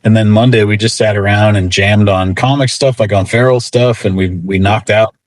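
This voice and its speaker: Low-pitched man in casual interview. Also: fairly even intonation, normal speed. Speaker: interview guest, comic book writer.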